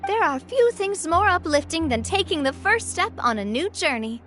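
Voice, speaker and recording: An actress playing a confident, warm-hearted young female gang leader who talks in an upbeat, gracious way. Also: very high-pitched, expressive, moderate pace. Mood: earnest. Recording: game audio with faint music.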